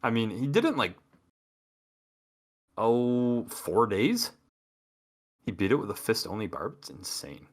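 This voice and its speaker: A man's voice: mid-range voice, sing-song, measured pace, long pauses. A man co-hosting a gaming podcast, talking in a casual, rambling way with many restarts. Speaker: podcast co-host.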